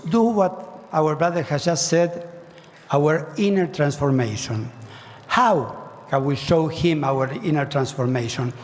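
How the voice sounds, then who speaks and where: Man exhorting in a big hall. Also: a little high. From Argentina. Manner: earnest, exhorting, with fervent rising emphasis. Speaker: speaker at a spiritual gathering. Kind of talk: devotional address to a large audience. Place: large hall. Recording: long reverb of a large hall.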